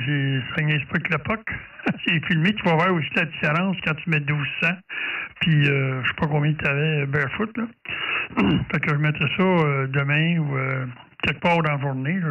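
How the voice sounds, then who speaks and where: A man with a Quebec French accent chatting casually and hesitantly as an amateur radio operator. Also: on the high side. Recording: dull, distant-mic home recording.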